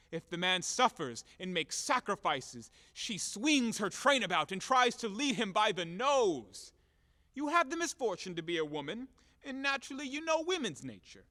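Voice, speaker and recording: An actor playing a blustering, hot-tempered boor in an audio play, loud and declamatory, scornful and bombastic. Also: male voice, high-pitched, heavily acted. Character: blustering, hot-tempered, boorish man demanding repayment, cynical about women. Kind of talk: theatrical audio play acting. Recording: echoey hall-like reverb.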